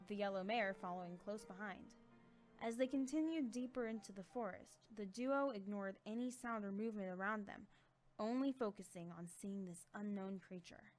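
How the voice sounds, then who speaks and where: Female narrator, mid-pitched, scripted storytelling with soft background music.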